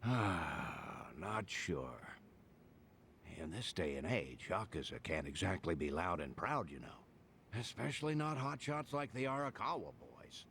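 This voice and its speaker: Game dub: easygoing old local, low voice, lively folksy delivery, amused. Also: male voice, a little slow, a little hiss or hum, exaggerated voice. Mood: friendly, wry. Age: older. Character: genial older local man, chatty and easygoing. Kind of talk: English video game dub acting.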